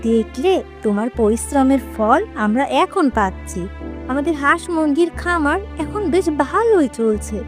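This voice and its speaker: A woman's voice: high voice, animated, a little slow, faint music behind. A woman playing an earnest, practical village wife in a moral-story cartoon, encouraging and hopeful. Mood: hopeful, approving.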